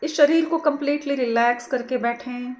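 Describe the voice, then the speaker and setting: A woman leading a guided meditation, giving soothing, gentle, unhurried instructions. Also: high voice. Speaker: meditation teacher.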